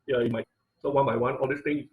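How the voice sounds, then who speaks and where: Male online instructor, medium pitch, informal technical lecturing, repeats phrases and checks in often. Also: fairly even intonation, fast talker, narrow, tinny audio.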